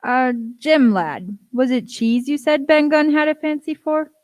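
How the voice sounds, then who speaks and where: Radio play doctor, kindly gentleman played by a woman: slightly high voice, dry close sound. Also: lively intonation, a little slow, no room sound.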